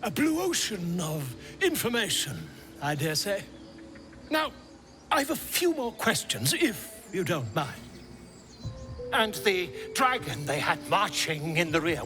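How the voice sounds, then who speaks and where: An actor playing a pompous, excitable middle-aged scholar in a video game, with florid, theatrical delivery. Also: male voice, sits high, measured pace, over music. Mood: eager, pompous. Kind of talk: video game character acting.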